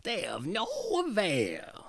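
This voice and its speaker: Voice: mid-range voice, huge pitch swings, measured pace, gravelly, a bit bright, no room sound, studio quality, exaggerated voice. A reader voicing a cruel, greedy old female witch in a read-aloud fairy tale, with a menacing villain's manner.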